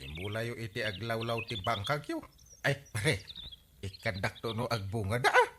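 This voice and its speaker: A man playing an excitable, talkative village buddy in an Ilocano radio comedy, exclamatory, with an Ilocano accent. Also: lowish voice, normal speed, noisy background. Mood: advising. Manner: exclamatory, swooping.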